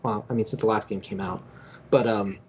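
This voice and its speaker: A man's voice: lowish voice, steady tone, a little fast, darkish tone, slight background noise. A man co-hosting a podcast in casual, rambling talk full of filler phrases. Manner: rambling, filler-heavy with many "you know"s. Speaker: podcast co-host. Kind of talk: casual chat. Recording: dull, lo-fi voice recording.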